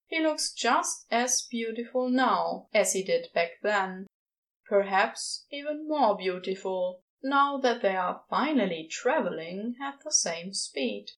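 A woman's voice: mid-range voice, lively intonation, slow, fairly bright tone, rough recording. A woman reading a story aloud as a scripted fiction narrator. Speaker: podfic narrator.

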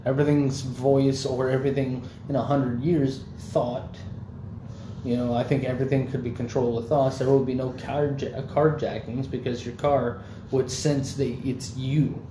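A man's voice: mid-range voice, level tone, a little slow. A young man in casual chat, rambling loosely with lots of fillers and swearing. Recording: echoey room, faint background noise.

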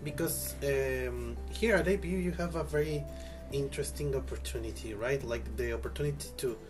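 A man's voice: medium pitch, fairly quick, over music. A man, a language professor, answering interview questions thoughtfully, with many fillers and tag questions.

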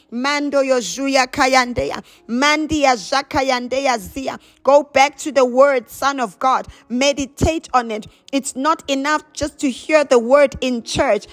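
A woman preacher delivering a charismatic prayer sermon, exhorting with repeated emphatic phrases and bursts of chanted glossolalia. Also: high-pitched.

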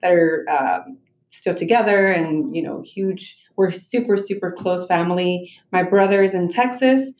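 A woman's voice: on the low side, a little mellow. Enthusiastic woman guest in an echoey room. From Mexico. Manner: eager and enthusiastic, quick to laugh, rambling. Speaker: podcast guest. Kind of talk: casual interview conversation.

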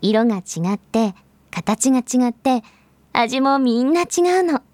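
A woman playing a gentle, patient mother explaining things to her small child in an audio drama. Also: on the high side, a little slow, no echo, natural voice. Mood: patient, tender. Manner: soft-spoken explaining, patient.

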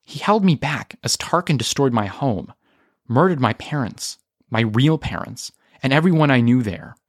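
A man plays a young rebel woman in an audio story, guarded and bitter. The delivery is flat and firm, at a middling pitch. The sound is dry and close. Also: normal speed, no room sound.

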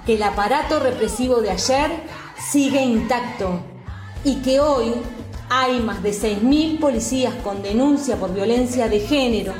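A woman's voice: on the high side, measured pace, darkish tone. An Argentine woman activist reading a scripted declaration in a solemn, declamatory manner. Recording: roomy echo, music behind.

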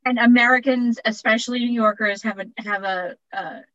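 A woman's voice: a little high, steady tone, normal speed, fairly bright tone, no room sound. Podcast co-host: woman, 40s, Long Island accent, chatty, laughs a lot, dry and muffled sound. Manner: chatty, laughs often, trailing restarts. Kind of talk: casual chat.

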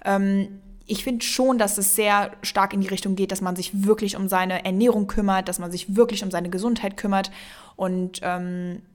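A woman's voice: mid-range voice, fairly even intonation, normal speed. A young woman co-hosting a casual podcast, rambling through her thoughts with many fillers and the odd English word mixed in. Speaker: podcast co-host.

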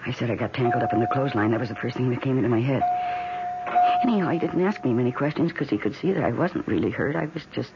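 A woman's voice: a bass voice, fast talker. An American woman playing an anxious wife who tenderly dotes on her houseplants, acted intensely in 1970s radio drama style. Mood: subdued, confessing. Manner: intense, dramatic delivery with repeated words and pleading emphasis. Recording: dull, noisy 1970s radio recording.

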